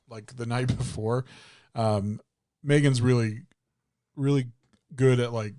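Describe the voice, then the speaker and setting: A man's voice: medium pitch, darkish tone, dry recording, studio quality. A man in his thirties co-hosting a podcast, telling rambling stories in casual chat and laughing often. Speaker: podcast co-host.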